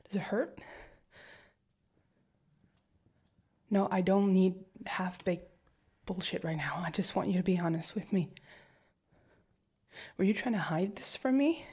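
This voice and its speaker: Woman voicing an anxious young girlfriend, breathy husky tone, stammering repeats, slips into whispers. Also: fairly low pitch, moderate pace. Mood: angry worry. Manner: rambling, stammering repeats, drops to hushed whispers. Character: anxious, protective young girlfriend, fiercely caring. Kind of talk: listener-directed audio roleplay.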